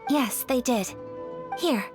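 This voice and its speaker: A woman playing a gracious, gentle, earnest young noblewoman in a video game, speaking with formal, polite, measured diction. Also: sits high, animated, music in the background.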